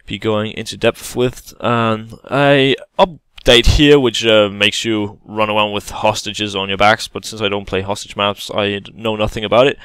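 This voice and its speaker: A man talks through a game tutorial in a relaxed, halting way, often pausing and restarting. His voice is smooth and clear. The recording is dry and close, with no room sound. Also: on the low side, dry recording. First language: Danish. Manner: hesitant, many fillers and restarts, casual explaining. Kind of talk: gameplay tutorial voice-over.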